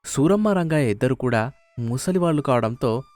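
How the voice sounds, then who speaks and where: A man's voice: mid-range voice, lively intonation, moderate pace, a little mellow, dry recording. A man narrating a scripted moral story as a storyteller.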